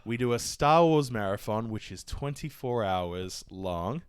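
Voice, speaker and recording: A man's voice: on the low side, animated, measured pace, clean tone, no echo, studio quality. A man hosting a comedy podcast, telling casual stories with slangy swearing and acted-out quotes. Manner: sweary, slangy, acts out quotes in mock outrage. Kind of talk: casual chat, comic storytelling.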